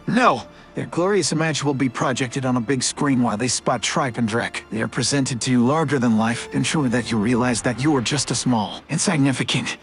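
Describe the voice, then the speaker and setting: Male actor as righteous anti-rich agitator, mid pitch, theatrical oratory, sarcastic, contemptuous, video game speech. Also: a bit bright, close to an everyday voice. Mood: sarcastic, grandiose. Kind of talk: theatrical speech, propaganda rant.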